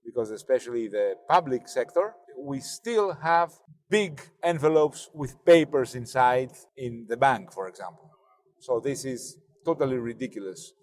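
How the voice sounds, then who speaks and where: Greek man in his forties, measured. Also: medium pitch, lively intonation, a little mellow, big-room sound. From Greece, Athens. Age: 40s. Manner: measured, searching for words in a second language. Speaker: driver and interview guest. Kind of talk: casual conversation. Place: inside a car.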